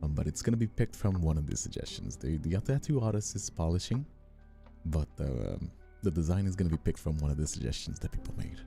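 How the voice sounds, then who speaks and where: A man's voice: extremely low pitch, animated, fast, gravelly, warm tone. A man chatting casually on a live stream as its host, affectionate with his viewers, with a flirty touch. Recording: faint music behind the voice.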